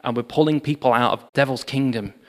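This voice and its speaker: A man giving a sermon, telling revival history in an animated storytelling manner with frequent fillers. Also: medium pitch, fairly quick. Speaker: preacher. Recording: long hall reverb.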